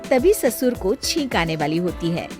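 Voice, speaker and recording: A woman's voice: lowish voice, very animated, moderate pace, music in the background. A woman reading scripted story narration as the narrator of a family tale.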